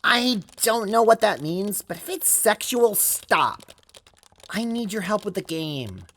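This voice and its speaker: An earnest teenage girl with some bite, played by a woman, speaks in an audio drama. She is halting and casual, a little slow, with animated pitch over faint background noise. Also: exaggerated voice. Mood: annoyed. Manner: halting, casual, blunt when annoyed. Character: earnest teenage girl, hesitant but feisty. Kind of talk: amateur audio drama acting.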